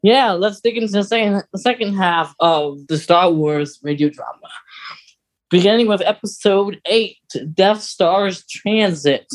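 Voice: medium pitch, a little slow. A podcast co-host chatting casually in a hesitant, rambling way. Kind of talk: casual chat.

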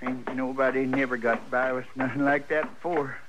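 An actor playing a cranky, boastful old Texan with an American Western drawl, blustering and stammering in a radio western. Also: male voice, mid-range voice, fairly even intonation, moderate pace, close to an everyday voice. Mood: wounded pride. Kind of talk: 1950s radio western acting. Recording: noisy old radio recording with clicks.

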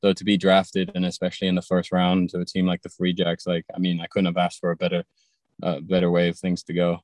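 Young man, humble and excited, dry sound. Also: low-pitched, quick pace, no echo. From Thailand, raised partly in England. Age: young adult. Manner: humble, excited, hesitant with many fillers. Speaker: guest, drafted rugby player. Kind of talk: phone interview from his car. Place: in a car.

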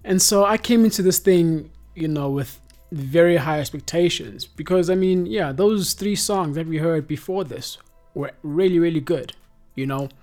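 A man's voice: fairly high pitch, normal speed, faint music behind. A man in his twenties from South Africa, hosting a casual podcast chat and rambling easily with lots of fillers. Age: 20s. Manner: relaxed, rambling with many fillers. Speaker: podcast host.

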